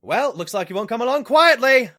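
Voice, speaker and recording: An earnest sidekick stallion played by a man, high and lively in pitch. He is brave, kind and sincere, a detective's partner in a cartoon audio drama. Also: a little fast, exaggerated voice. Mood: indignant.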